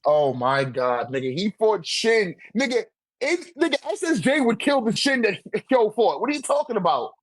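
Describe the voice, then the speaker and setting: A man's voice: high-pitched, big pitch swings, normal speed, a little hiss or hum. A man in a casual, argumentative debate, talking animatedly with heavy slang and swearing. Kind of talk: casual slangy debate about manga rankings.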